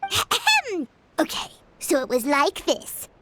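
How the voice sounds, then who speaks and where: A woman voicing a tiny, excitable, boastful fairy companion with a squeaky childlike voice in a video game. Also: slow pace, noisy background, heavily acted. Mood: boastful, excited.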